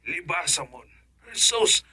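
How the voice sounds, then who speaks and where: Boastful Filipino schemer, wildly swooping voice. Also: male voice, fairly high pitch, lively intonation, slow pace, bright, forceful tone, breathy edge, no room sound, full character voice. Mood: sly, cajoling. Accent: Ilocano Filipino. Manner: boastful, cajoling, exclamatory. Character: money-obsessed scheming village husband, boastful gambler, sly tempter. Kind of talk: Ilocano radio comedy drama acting.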